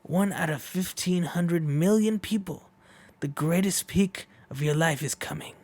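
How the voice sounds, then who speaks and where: Man voicing a hushed, portentous fortune teller. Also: on the high side, unhurried. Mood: solemn assurance. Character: solemn fortune teller promising great luck.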